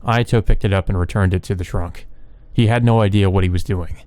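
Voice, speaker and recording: Low-voiced man reading a story, dry sound. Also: steady tone, fairly quick, a little mellow, dry recording. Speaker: let's player reading a visual novel aloud. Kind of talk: narrated reading of story text.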